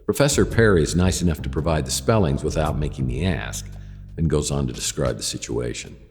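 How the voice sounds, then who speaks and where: An actor playing a wry, world-weary private detective in a hardboiled radio drama, narrating with deadpan, self-deprecating wit. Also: male voice, rumbling low, a little fast, in a large hall, faint music behind.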